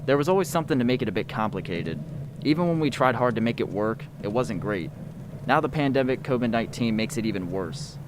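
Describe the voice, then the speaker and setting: A man's voice: mid-range voice, animated, quick pace, background noise. A man giving an earnest, reflective spoken monologue.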